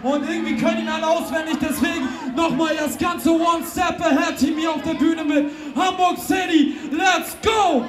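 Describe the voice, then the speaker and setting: A man in his thirties hosting a hip hop show on an outdoor festival stage, shouting announcements and crowd calls into a handheld mic. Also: very high-pitched, fairly even intonation, moderate pace. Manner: hypes the crowd with loud calls. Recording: echoey live PA sound. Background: loud hip hop music and cheering crowd.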